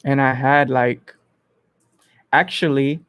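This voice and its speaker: A man from the Bronx, hosting a casual livestream and thinking aloud in an informal, halting way. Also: mid-range voice, steady tone, a bit bright, clear, resonant tone, no echo. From Bronx, New York. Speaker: host of an online entertainment interview channel. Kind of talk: casual livestream chat answering viewers.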